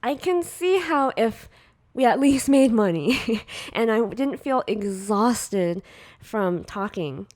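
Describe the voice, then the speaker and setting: A woman in her thirties with a quiet, soft voice, co-hosting a casual podcast chat and laughing often as she rambles. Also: fairly high pitch, lively intonation, slow. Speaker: podcast co-host, artist.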